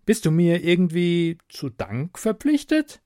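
A man's voice: sits high, very animated, measured pace, dark tone, no echo, voice pushed up. A man reading the lines of a wary, practical young woman in a storybook, in a dry, questioning way.

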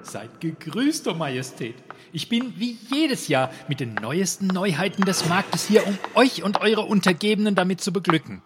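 An actor playing an eager, servile travelling salesman who flatters a king with florid sales patter in a comic audio drama. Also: high-pitched, normal speed, noisy background. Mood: fawning. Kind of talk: amateur comedy audio drama set at a royal court.

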